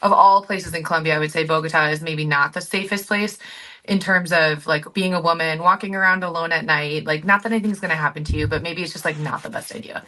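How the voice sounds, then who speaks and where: Bright, forceful American woman swapping stories. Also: sits low, steady tone, fast, low-quality recording. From United States. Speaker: guest, group travel company founder. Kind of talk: casual conversational storytelling.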